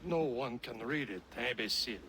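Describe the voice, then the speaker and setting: A man's voice: mid-range voice, lively intonation, normal speed, slight background noise. An actor playing a stern, condescending male mentor in a video game cutscene. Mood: scornful. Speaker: mentor of the brotherhood. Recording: game sound with loud ambience.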